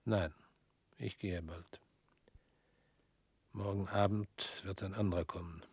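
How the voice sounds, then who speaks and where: An actor in a radio drama playing a weary former prosecutor who speaks slowly and drops to near whispers. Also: male voice, low-pitched, fairly even intonation, husky, dry recording, heavily acted.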